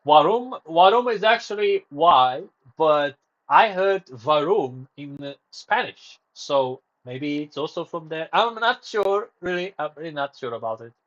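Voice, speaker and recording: A man's voice: fairly high pitch, animated, unhurried, a bit bright, muffled recording. Rambling man streaming. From Romania. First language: Romanian. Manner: rambling, self-correcting, drifts off topic. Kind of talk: casual solo livestream chat.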